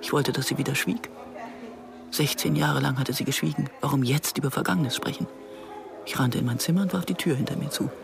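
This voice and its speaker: A woman's voice: extremely low pitch, steady tone, fairly quick, gravelly. An actress playing an anxious, introspective woman who narrates a radio drama in the first person. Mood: haunted, reflective. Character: anxious, introspective Israeli woman recalling her past. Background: music.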